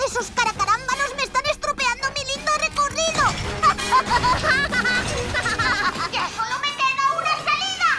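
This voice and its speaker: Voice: very high voice, measured pace. An actor voicing a boastful, scornful boy mad-scientist villain in a children's cartoon, gloating theatrically with a Castilian Spanish accent. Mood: indignant. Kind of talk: animated children's cartoon dubbing. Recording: music behind the voice.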